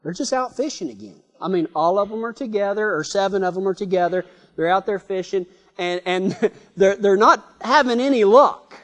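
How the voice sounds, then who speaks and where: A man's voice: high-pitched, animated, normal speed, long reverb tail. A man with a Texan accent preaching a folksy, rambling sermon, stumbling over his words and chuckling as he tells a story.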